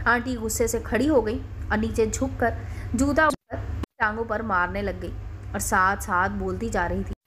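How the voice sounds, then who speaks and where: A woman's voice: fairly high pitch, steady tone, moderate pace, a bit bright, slight background noise. A woman reading a scripted first-person story as its narrator.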